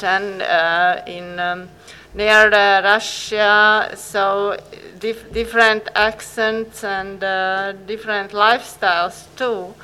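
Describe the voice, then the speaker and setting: A woman teacher with a Latvian accent answering interview questions, pausing often to find her words. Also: mid-range voice, sharp tone, clear, resonant tone, poor audio. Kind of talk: casual radio interview.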